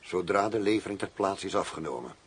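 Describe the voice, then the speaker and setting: An actor playing a cool, demanding arms buyer in a radio drama, formal, firm and self-assured. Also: male voice, low-pitched, expressive, a little fast, husky. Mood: firm, businesslike. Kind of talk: Dutch radio drama acting. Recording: noisy old radio drama recording.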